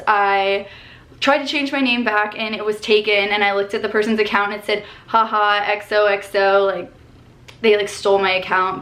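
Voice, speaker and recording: A woman's voice: medium pitch, a bit bright. A woman in her twenties from Colorado, chatting casually and upbeat through a Q&A vlog, filled with "like" and "um", recorded in her bedroom on a camera at desk distance. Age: 20s. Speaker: vlogger.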